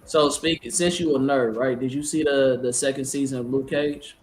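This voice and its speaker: A man hosts a casual podcast over a video call. He talks in a loose, slangy way, checking in with his listeners as he goes. His pitch sits in the middle. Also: steady tone, normal speed. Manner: laid-back slangy, lots of "you feel me". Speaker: podcast host.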